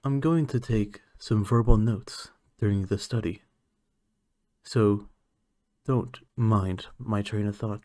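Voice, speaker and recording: A man playing an aloof, condescending alien scientist in an ASMR roleplay, speaking in a clinical, measured, haughty manner. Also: on the low side, dark tone, no room sound. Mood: clinical, musing. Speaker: voice actor.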